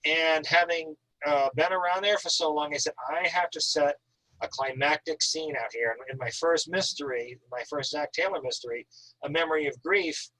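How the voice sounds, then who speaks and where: A man with a somewhat high voice talks in a casual, enthusiastic style. He is effusive and wordy, stressing his points like an author keen to share his work. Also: normal speed, pushed and bright, no echo, rough recording. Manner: effusive, talkative, emphatic. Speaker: author, guest at an online reading. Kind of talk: casual enthusiastic chat about writing.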